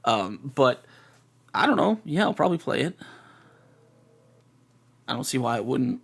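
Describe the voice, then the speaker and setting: Rambling male streamer, hoarse voice. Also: mid-range voice, sing-song, unhurried, fairly bright tone. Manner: rambling, lots of restarts and fillers, swears casually. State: losing his voice. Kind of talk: casual live-stream chat.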